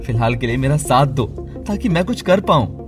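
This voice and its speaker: Poor devoted husband for an animated moral tale, played by a man; medium pitch, meek, pleading, clear music underneath. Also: moderate pace, close to an everyday voice. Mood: exasperated, pleading. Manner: pleading, earnest. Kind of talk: animated moral story acting.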